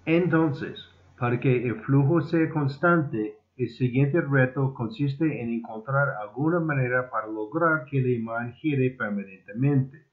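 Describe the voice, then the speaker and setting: A man who speaks as a second-language speaker, reading a formal scripted lecture in a deliberate, slightly stumbling way. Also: mid-range voice. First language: not Spanish (Spanish is his second language). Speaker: amateur inventor presenting his own proposal. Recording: muffled, phone-like narrow band.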